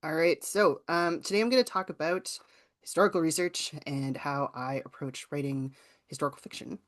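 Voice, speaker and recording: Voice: mid-range voice, moderate pace. A writing teacher from Ontario with a Canadian accent, giving an informal online workshop presentation in a conversational, explanatory way. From rural southwestern Ontario, Canada.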